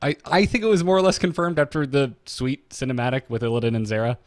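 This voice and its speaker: A man co-hosting a podcast, talking casually and off the cuff in a halting, wry, conversational way. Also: medium pitch, animated, no echo, studio quality. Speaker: podcast co-host. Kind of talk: casual, unscripted fan discussion.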